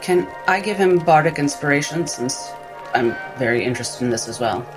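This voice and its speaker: A man with a fairly high voice speaks in character during a tabletop game. His phrasing is formal and a little hesitant, and music plays clearly behind him. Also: expressive, moderate pace, poor audio. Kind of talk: measured in-character roleplay talk.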